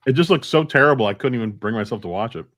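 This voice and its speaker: A man's voice: mid-range voice, lively intonation, racing, fairly bright tone, no room sound, clean studio sound. A man co-hosting a casual live-stream chat, speaking conversationally and relaying comments.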